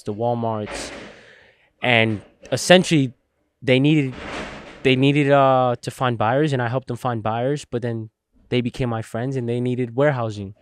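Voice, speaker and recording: Young man calmly telling his story. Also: mid-range voice, a little hiss or hum. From New Jersey, United States. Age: 20s. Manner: earnest, steady storytelling with fillers. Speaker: guest, logistics entrepreneur. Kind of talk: interview storytelling. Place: warehouse studio set. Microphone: boom-mounted podcast mic.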